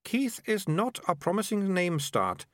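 A prim, bossy young girl who is always sure she's right, as a man puts her on. Her voice sits high and swoops with lively pitch. It is recorded close and dry. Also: unhurried, no room sound, exaggerated voice. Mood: imaginative. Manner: prim, know-it-all, theatrical. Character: bossy, imaginative young girl, mayor's daughter.

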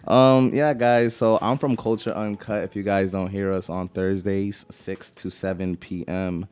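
A man's voice: deep voice, steady tone, measured pace, a little mellow, smooth. A man from Puerto Rico, a radio co-host, talking eagerly in casual chat.

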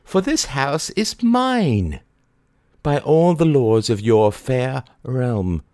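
A man voicing a sly woman trickster who speaks gently and teasingly, with giggles. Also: a bass voice, sing-song, slow pace, no echo, studio quality, pitched above the performer's own voice, exaggerated voice. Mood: gleeful.

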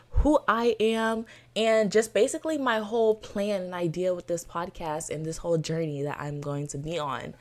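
A nineteen-year-old woman from Florida hosting her own podcast, talking in an enthusiastic, chatty way with lots of "you know" fillers. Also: lowish voice. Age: teens. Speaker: podcast host. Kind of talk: solo podcast monologue.

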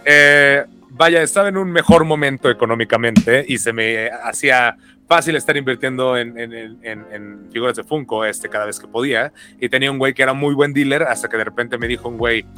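Brief: Mexican podcast co-host, male, bright forceful tone, slangy and relaxed. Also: medium pitch, brisk, faint music behind. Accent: Mexican Spanish. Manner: relaxed, slangy, many fillers and restarts. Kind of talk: casual podcast chat.

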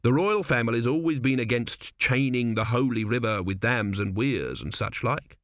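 A man voicing a hesitant, easily astonished father of a builder family, halting and concerned, in a storybook reading. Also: mid-range voice, lively intonation, no echo, clean studio sound, lightly acted. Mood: doubtful.

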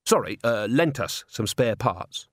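Man acting a friendly young organ tinkerer, lively pitch. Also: normal speed, dry recording, studio quality. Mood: cheerful. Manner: casual, explanatory.

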